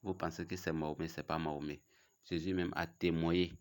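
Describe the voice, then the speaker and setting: A man with a cold giving a casual, challenging religious talk to his viewers, repeating himself and pausing often. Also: low-pitched, flat delivery. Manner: challenging, repetitive, halting. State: has a cold. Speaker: religious video host. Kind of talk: casual religious preaching to camera.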